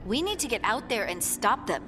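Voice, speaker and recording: An earnest dwarf woman scout who keeps pressing the others to act in a fantasy game. Clear music plays behind her. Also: a little high, big pitch swings, normal speed. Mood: urgent. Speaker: party companion. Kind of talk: video game voice acting.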